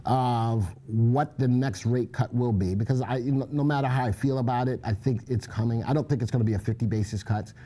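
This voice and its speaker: A man's voice: medium pitch, fairly quick, few pauses, warm tone. A man co-hosting a live stock market show, chatting casually and joking as he reads the viewer chat, on a muffled mic.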